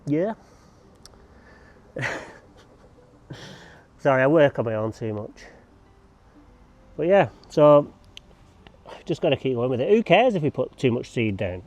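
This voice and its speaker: A man's voice: medium pitch, darkish tone, lo-fi sound. A man chatting casually to camera while working outdoors, rambling with joking asides. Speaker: lawn care vlogger. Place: outdoors on a lawn.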